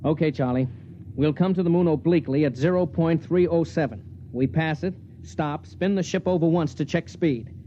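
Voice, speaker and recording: Actor as mellow, resolute spaceship captain. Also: male voice, fairly high pitch, normal speed, lightly acted. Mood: commanding. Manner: terse, authoritative. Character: resolute, weary spaceship captain and husband, commanding. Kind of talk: 1950s radio drama acting. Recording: muffled, hissy old radio broadcast.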